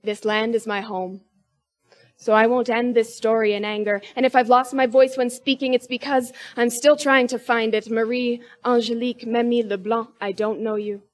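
Woman in her 20s, spoken word poet; high, rhythmic, emphatic. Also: flat delivery, moderate pace. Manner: rhythmic, emphatic delivery with sharp rises in pitch and force. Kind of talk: spoken word poetry performance.

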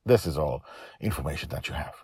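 A man's voice: extremely low pitch, expressive, fast talker, a little mellow, breathy edge. A man hosting his own story podcast, narrating and talking informally in a dramatic, emphatic way.

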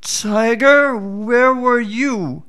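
A man voicing a sly little jackal, high and drawn out. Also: pushed and bright, clean tone, heavily acted. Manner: slow, feigned puzzlement, drawn out.

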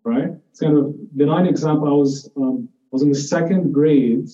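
Man, higher-pitched, very mellow voice, conversational explaining, restarted phrases, distant muffled recording. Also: brisk. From Jordan. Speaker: lecturer in psychiatry. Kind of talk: informal spoken lecture with personal anecdotes. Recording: muffled, echoey room, distant mic.

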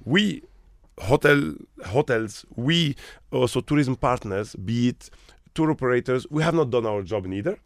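A man's voice: mid-range voice, animated, measured pace, hardly any pauses, no room sound, studio quality. A man from Morocco who manages hotels, talking as an interview guest with emphatic rhetorical questions.

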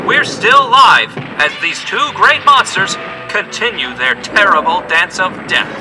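An actor playing an excited, melodramatic reporter giving live commentary in an audio drama, announcing breathlessly. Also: male voice, sits high, a little slow, music in the background, poor audio. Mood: excited, awed.

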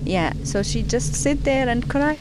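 A woman who speaks as a non-native speaker, giving an emotional testimony and searching haltingly for her words. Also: a little high, animated, poor audio. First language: not English. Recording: loud background noise over the voice.

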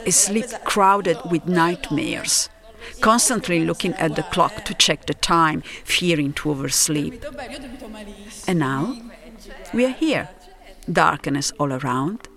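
A woman narrating her own scripted first-person story in a reflective, unhurried manner with deliberate pauses. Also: low voice, expressive, fairly bright tone.

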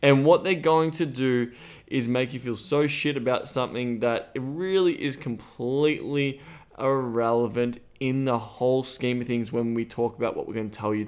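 An Australian man co-hosting a podcast in casual chat, blunt and swearing freely. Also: mid-range voice. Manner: blunt, profane and animated. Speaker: podcast co-host. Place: Thailand.

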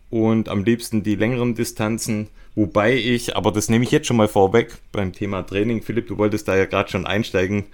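A man of forty co-hosting a podcast, chatting casually in a tongue-in-cheek way. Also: medium pitch. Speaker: podcast co-host and hobby runner.

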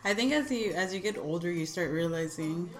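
A woman in her late twenties chatting with friends, unhurried and teasing, laughing often. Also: fairly low pitch, lively intonation, reverberant. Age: 20s. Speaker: guest friend. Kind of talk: casual chat among friends.

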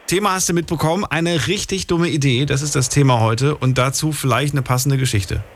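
A man hosting a radio phone-in show, greeting callers and steering them briskly to the topic. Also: fairly high pitch. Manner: friendly, brisk, animated. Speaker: radio host.

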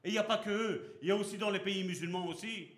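A man's voice: high voice, fast, a bit bright. A man preaching a sermon as pastor, speaking with passion and throwing rhetorical questions at his congregation. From Belgium. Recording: echoey hall.

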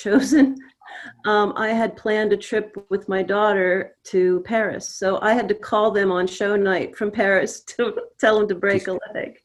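A middle-aged woman, a playwright, talking in a casual panel discussion in a measured way, pausing mid-phrase. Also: medium pitch.

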